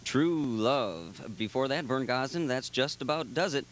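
A man's voice: mid-range voice, expressive, unhurried, a little hiss or hum. Young man chatting as a country radio DJ. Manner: chatty, inviting listener calls. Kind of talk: friendly on-air patter. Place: small radio studio. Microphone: boom mic with headphones.

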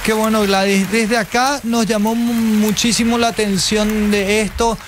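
A man's voice: high-pitched, flat delivery, unhurried, background noise. A man, hosting a radio interview in a studio, speaking in a friendly, chatty way. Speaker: radio host. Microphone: studio mic with headphones.

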